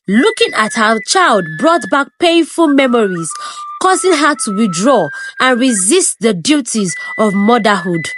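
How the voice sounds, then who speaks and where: A woman tells a folk tale in a high voice that rises and falls freely, keeping a steady storytelling pace. Music plays clearly beneath her narration. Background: background music.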